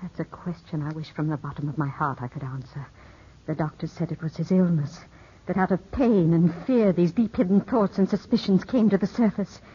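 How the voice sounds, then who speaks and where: An actress in a 1950s radio drama playing a poised, enigmatic middle-aged widow, speaking in a measured, intimate, restrained way. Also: female voice, lowish voice, warm tone, slightly rough. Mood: wistful, reflective. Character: poised, enigmatic widow of about thirty-five, wounded and gracious. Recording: dull, muffled old radio recording.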